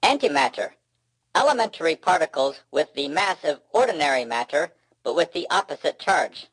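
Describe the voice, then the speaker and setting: A man's voice: fairly high pitch, sing-song, normal speed, fairly bright tone, breathy edge, no room sound, pitched above the performer's own voice, heavily acted. A man voicing a precise, exasperated know-it-all robot in a children's storybook, with a stiff synthetic tone and clipped, pedantic delivery.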